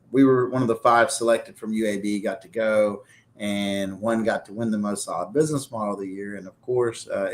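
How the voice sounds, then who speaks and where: A man in his forties from Pakistan, a restaurant owner telling his story in a loose, talkative way during a casual interview. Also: medium pitch.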